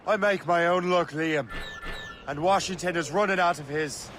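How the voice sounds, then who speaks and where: An actor playing a bold young assassin recruit in a video game, speaking in a direct, inquisitive way. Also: male voice, a little high, normal speed, a bit bright, a little hiss or hum, close to an everyday voice. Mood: defiant. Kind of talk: video game cutscene acting.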